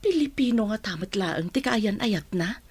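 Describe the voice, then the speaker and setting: A woman playing a concerned middle-aged village mother in a radio drama, with a husky voice. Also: medium pitch, expressive, moderate pace, a little mellow, no room sound. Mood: concerned, inquiring. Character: concerned village mother hearing news of her child. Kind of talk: Ilocano radio drama acting.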